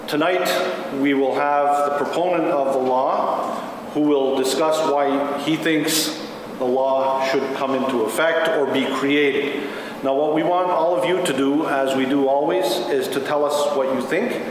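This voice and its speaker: Man, meeting facilitator, halting explanatory speech, strong hall echo, clearly noisy. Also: a little high, steady tone. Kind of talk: addressing a public meeting. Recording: very echoey hall, clear background noise.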